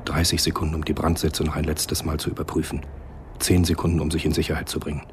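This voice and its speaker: A man with an extremely deep voice narrates a radio drama. He is measured and sombre in a literary storytelling style, with faint noise behind him. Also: completely flat delivery.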